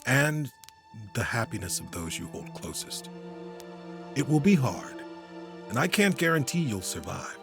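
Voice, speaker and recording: A man playing a weary, middle-aged retired hero in a fantasy audio drama, earnest and solemn in his measured speeches. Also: mid-range voice, big pitch swings, music in the background. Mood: solemn, encouraging.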